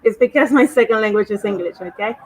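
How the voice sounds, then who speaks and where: A woman's voice: on the high side, fast talker. A woman from Puerto Rico whose first language is Spanish, speaking English with a Puerto Rican accent and many hesitant fillers while introducing herself on a video call panel. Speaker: dance teacher and studio owner.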